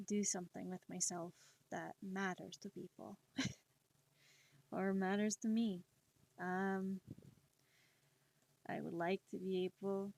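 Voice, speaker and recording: Slow, gentle woman streamer. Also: lowish voice, low-quality recording. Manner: slow, soft-spoken, encouraging, laughs often. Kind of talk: casual chat while drawing on stream.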